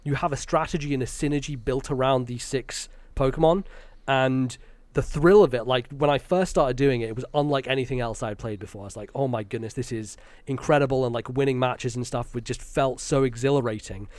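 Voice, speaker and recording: A man's voice: mid-range voice, brisk, hardly any pauses, darkish tone, no echo. British man, close dry sound. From Britain. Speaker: podcast guest, content creator. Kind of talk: casual conversational interview.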